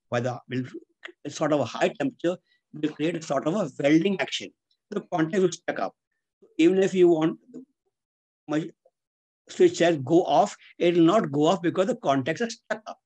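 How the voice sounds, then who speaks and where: An older man with a fairly high voice teaches a technical class over a video call. He is deliberate and didactic, going point by point through his slides and repeating or spelling out key terms. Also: a little mellow. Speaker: engineering instructor. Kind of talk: webinar lecture explaining slides. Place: indoors, on a webcam video call.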